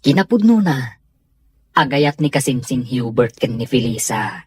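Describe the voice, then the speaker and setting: A man playing a calm, candid young gentleman in an Ilocano radio drama, with an Ilocano accent. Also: medium pitch, measured pace, slight background noise. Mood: calm, assuring. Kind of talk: Filipino radio drama acting.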